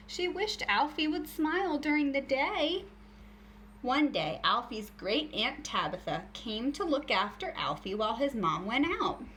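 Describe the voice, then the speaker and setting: A woman teacher reading a picture book aloud to young children in an expressive, deliberate storytelling way. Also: sits high, slight background noise.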